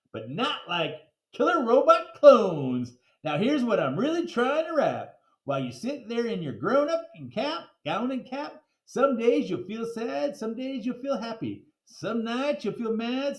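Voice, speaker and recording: Storybook rapper showman, acted by a male reader: upbeat, playfully preachy, rhyming, high and animated, roomy sound. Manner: rhyming, rapping delivery with slang.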